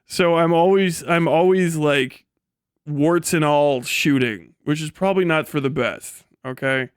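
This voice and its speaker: A man's voice: fairly high pitch, unhurried, clean tone, dry recording, studio quality. A man in his late thirties hosting a casual livestream, rambling and swearing freely with a self-deprecating manner. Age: late 30s. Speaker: livestream host.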